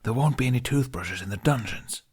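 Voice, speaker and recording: A gruff male rabbit warrior, played by a man, grumbles through a fantasy tale. He is sardonic and easily exasperated, delivering his retorts drily. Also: medium pitch, a little fast, voice pushed up.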